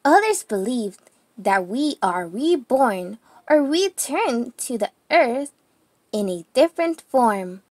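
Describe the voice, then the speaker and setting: A woman reading a children's picture book aloud, deliberately, phrase by phrase with pauses. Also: on the high side, big pitch swings, a little mellow, no room sound.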